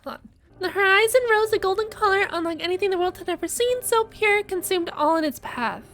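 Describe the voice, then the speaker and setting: A woman streamer giving casual, expressive live reaction commentary on a game story, with frequent interjections. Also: extremely high pitch, a little fast, faint music behind.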